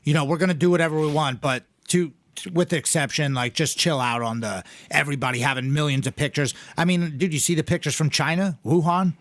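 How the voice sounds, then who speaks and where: A man co-hosting a casual podcast, rambling and swearing, his pitch jumping up when he gets excited. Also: fairly quick, hardly any pauses, no echo, studio quality. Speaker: podcast co-host, gym owner. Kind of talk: casual profane chat.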